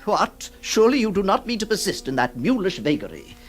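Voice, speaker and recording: A man's voice: sits high, wildly swooping pitch, brisk, soft background music. An actor playing a flustered, hesitant lawyer who stammers, also narrating his story in a radio drama. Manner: stammering, exasperated, theatrical.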